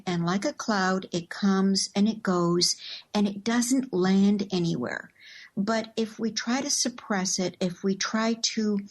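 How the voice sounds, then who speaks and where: A woman speaking as a radio show guest, explaining in a thoughtful, measured, conversational way. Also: medium pitch.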